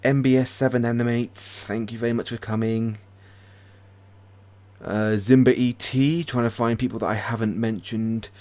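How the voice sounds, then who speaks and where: A British man hosting a casual livestream, chatting hesitantly with many pauses and restarts. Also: medium pitch, steady tone, darkish tone. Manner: hesitant, lots of ums and restarts, friendly. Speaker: ASMR channel host.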